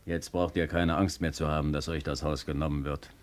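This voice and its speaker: An actor playing a courteous, matter-of-fact lawyer from town in a German radio play. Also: male voice, very deep voice, flat delivery, a little fast. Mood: brisk, matter-of-fact. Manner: formal, matter-of-fact, dryly amused.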